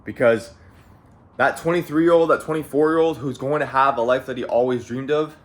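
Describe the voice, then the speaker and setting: A man's voice: mid-range voice, steady tone, moderate pace. A young man in his late teens from Canada, talking casually and profanely to camera in a motivational, rambling monologue, often repeating phrases and saying "bro". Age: young adult. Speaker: vlogger. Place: at home.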